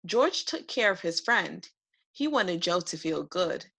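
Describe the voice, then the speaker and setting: A woman reading a children's picture book aloud for preschoolers in an expressive narrating style. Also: fairly low pitch, unhurried, creaky. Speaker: read-aloud host.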